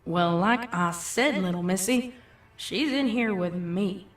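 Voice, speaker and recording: Woman playing a menacing farm pony: drawling, deliberate, coaxing, heard far off through heavy echo. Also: medium pitch, close to an everyday voice. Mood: sinister, coaxing. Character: sinister country mare pony, slow and coaxing. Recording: echoing, distant voice.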